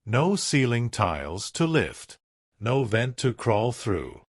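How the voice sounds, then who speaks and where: A man's voice: medium pitch, sing-song, slow, no room sound, studio quality. A man narrating a scripted true-crime documentary, telling it dramatically in short, punchy phrases.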